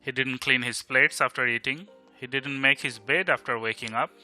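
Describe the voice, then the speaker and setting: A man's voice: mid-range voice, normal speed, bright, forceful tone. A man acting a grumbling, teasing young man in an amateur audio drama, with an Indian Bengali accent and a sarcastic, exasperated manner. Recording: music behind the voice.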